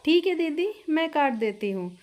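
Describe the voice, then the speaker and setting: A woman's voice: high voice, expressive, moderate pace, a little mellow, pitched above the performer's own voice. A woman voicing a polite young newlywed daughter-in-law who is eager to help, in a family story. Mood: obliging. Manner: polite, obliging.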